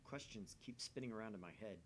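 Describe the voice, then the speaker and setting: A man's voice: lowish voice, fast talker, fairly bright tone, close to an everyday voice. A man voicing an intense, bewildered young man from a visual novel, dramatic and questioning. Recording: faint background noise.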